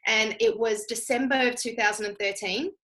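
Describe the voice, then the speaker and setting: A woman's voice: a little high, steady tone, moderate pace, fairly bright tone, poor audio. An Australian woman giving a chatty, emphatic personal testimonial, speaking directly to her listeners. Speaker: guest speaker telling personal story. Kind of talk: conversational testimonial storytelling.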